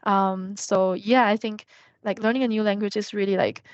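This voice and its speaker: A young woman chats casually as a podcast guest. Her speech rambles with lots of "like" and "um" fillers at a middle pitch. The recording is dull and dry, with no room sound. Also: fairly even intonation, moderate pace, darkish tone, no room sound. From China. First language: Mandarin. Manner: rambling, heavy use of "like" and "um".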